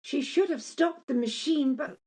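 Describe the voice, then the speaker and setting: A woman's voice: sits high, a little slow, warm tone. A woman playing an apologetic, formal company messenger in a radio play, hesitant, polite and grave. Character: apologetic, formal female company messenger bearing bad news.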